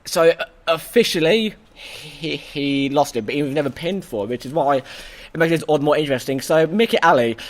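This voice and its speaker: A hoarse man hosting a casual review chat, excitable and full of stammering restarts. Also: on the high side, moderate pace, hardly any pauses. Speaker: host.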